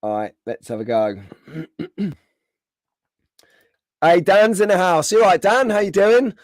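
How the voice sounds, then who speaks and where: A man hosting a casual live stream, greeting viewers by name, his voice slightly hoarse from being under the weather. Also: high-pitched, expressive, slow, no room sound.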